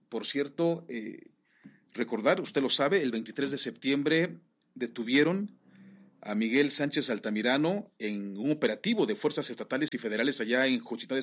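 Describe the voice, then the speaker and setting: A male news presenter reading regional news in a conversational way, speaking directly to his listeners. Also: mid-range voice. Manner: conversational, addresses listener directly, small restarts.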